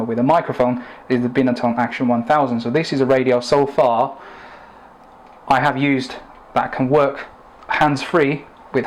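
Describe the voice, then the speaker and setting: Man giving an informal product review. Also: medium pitch.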